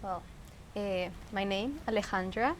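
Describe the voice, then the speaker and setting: Woman, Peruvian Spanish accent, medium pitch, casual interview guest, reflective searching phrasing, clear ambient noise. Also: a little slow. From Peru. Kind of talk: casual personal conversation.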